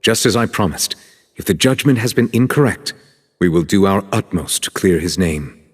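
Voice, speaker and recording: A man playing a stern, composed chief justice in a video game, speaking in a formal, measured, courteous way. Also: low-pitched, animated, a little hiss or hum. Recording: long hall reverb.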